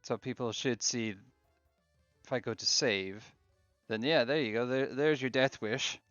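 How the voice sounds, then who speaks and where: A man hosting a casual game stream, chatting in a relaxed way while fiddling with his setup. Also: mid-range voice, measured pace, dry recording, highs cut off, faint music behind. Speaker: streamer host.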